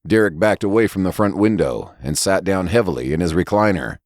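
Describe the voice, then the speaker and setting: Deep-voiced male storyteller, expressive and dry-recorded. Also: fairly quick, no echo. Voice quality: low-pitched voice. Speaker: story reader and podcast narrator. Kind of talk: scripted fiction narration, audiobook reading.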